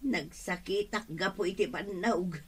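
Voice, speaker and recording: A woman's voice: mid-range voice, a little slow, fairly bright tone, a little hiss or hum, natural voice. A woman with an Ilocano accent playing a blunt, fed-up, scolding villager in a comedic radio drama.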